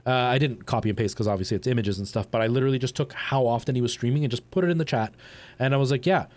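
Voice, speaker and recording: A man hosting a livestream, chatting casually and colloquially with his viewers in a rambling, animated way. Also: medium pitch, racing. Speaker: live streamer and host.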